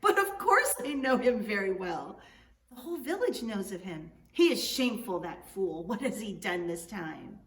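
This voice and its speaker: A woman's voice: medium pitch, sing-song, normal speed, lightly acted. A woman voicing a sly young male trickster in a storybook, theatrically courteous and falsely helpful. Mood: mock disapproval. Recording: echoey room, distant mic, faint noise.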